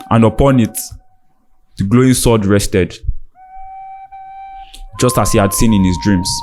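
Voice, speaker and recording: A man narrating a scripted dramatic folktale as a storyteller. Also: fairly low pitch. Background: background music.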